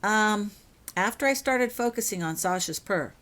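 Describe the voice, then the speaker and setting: A woman's voice: mid-range voice, expressive, normal speed, fairly bright tone, voice pushed up, close to an everyday voice. A woman voicing an earnest, hesitant young schoolgirl witch in a storybook reading.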